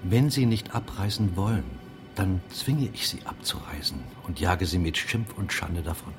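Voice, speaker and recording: An actor playing an angry, bullying middle-aged mayor in a German radio drama, scornful and commanding. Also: male voice, low voice, normal speed, music in the background. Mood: threatening.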